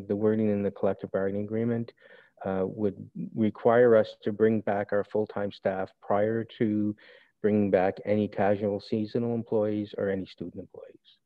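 A man's voice: lowish voice, flat delivery, normal speed, no echo. A man, a city director, answering council questions formally through the mayor. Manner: formal, addresses the mayor first. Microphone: video call. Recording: dry phone-like line.

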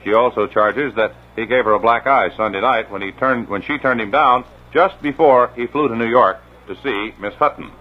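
A man reading the news and weather in a measured, formal 1950s radio style. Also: medium pitch. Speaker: newscaster. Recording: dull old radio transcription.

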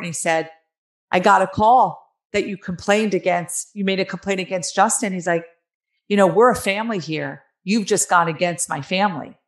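A woman in her forties with a raspy, nasal New Jersey accent, telling her own story candidly and emphatically as an interview guest on a video call. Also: lowish voice. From New Jersey, United States. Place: small room at home, on a video call. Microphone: headset on a video call.

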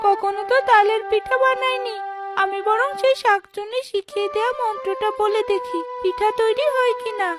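A woman's voice: very high voice, steady tone, moderate pace, loud music behind the voice. A woman voicing a meek, plaintive, mistreated young girl in a children's cartoon. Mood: sorrowful. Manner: plaintive, earnest.

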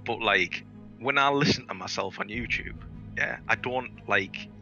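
A man's voice: on the low side, measured pace, bright, forceful tone, highs cut off, soft background music. A man telling stories and bantering over gaming voice chat in a sweary, theatrical, bombastic way. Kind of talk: animated casual gaming banter and storytelling.

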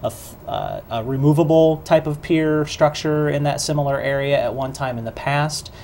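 A man in his thirties, a city councilman, explaining at length as a talk show guest in a small studio, steady with some ums. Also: a little high, slight background noise. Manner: measured, explanatory, with fillers. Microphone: lapel mic.

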